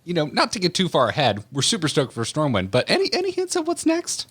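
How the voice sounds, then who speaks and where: A man's voice: a little high, wildly swooping pitch, fast, fairly bright tone, husky. A man hosting a podcast interview in a casual, conversational way. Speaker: podcast host.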